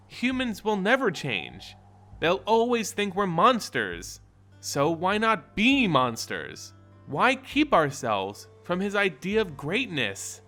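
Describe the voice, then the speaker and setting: Man voicing an earnest, troubled werewolf woman, expressive. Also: sits high, exaggerated voice. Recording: music behind the voice.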